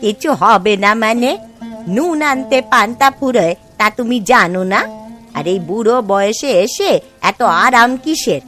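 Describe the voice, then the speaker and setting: A woman performs an elderly, sharp-tongued cartoon wife from a village. She nags and scolds with very animated intonation, music playing behind her. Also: fairly high pitch, unhurried. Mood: scolding, sarcastic. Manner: nagging, sarcastic. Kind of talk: Bengali cartoon moral story acting.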